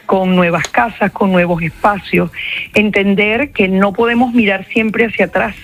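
A woman's voice: mid-range voice, highs cut off. A woman with a Venezuelan accent, a psychologist answering interview questions in a reflective way and repeating key words for emphasis.

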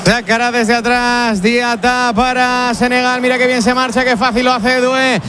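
A man calling a live football match play by play, quick and joking. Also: very high-pitched, level tone, a little hiss or hum. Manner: fast, bright play-by-play calls with jokes. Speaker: play-by-play commentator.

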